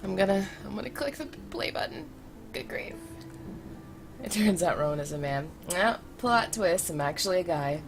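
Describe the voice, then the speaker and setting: A woman's voice: lowish voice, expressive, normal speed. A woman live streamer with a cold, chatting casually and jokily to her viewers. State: has a cold. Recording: clearly noisy background ambience.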